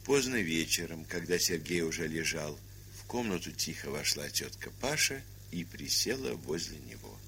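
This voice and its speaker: A man's voice: a bass voice, sing-song, normal speed, gravelly, sharp tone, heavily acted. A man narrating an audio play as a composed literary storyteller. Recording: hissy, humming 1970s record.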